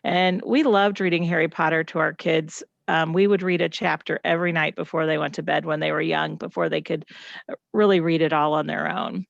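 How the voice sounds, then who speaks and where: Woman, gravelly low voice, dry close sound, encouraging presenter. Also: steady tone, brisk, dry recording, highs cut off. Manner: encouraging, asks the audience questions. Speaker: teacher presenting at family night. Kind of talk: classroom presentation to children and families.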